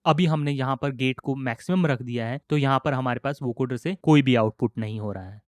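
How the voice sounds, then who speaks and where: A man with an Indian accent narrating an instructional software tutorial in a methodical, explanatory way. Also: mid-range voice, fairly quick, dry recording. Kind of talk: instructional screen-recording narration.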